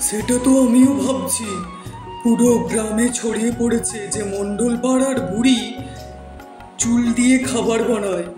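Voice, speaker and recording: A man's voice: very high voice, steady tone, moderate pace. A man voicing curious village men in a Bengali cartoon moral story, with music underneath. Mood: intrigued.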